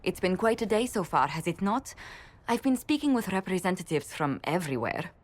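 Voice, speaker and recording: An actress playing a refined, gracious diplomatic adviser in a video game, with formal, precise, polite phrasing. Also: female voice, lowish voice, sing-song, brisk. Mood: courteous. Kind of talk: video game dialogue acting.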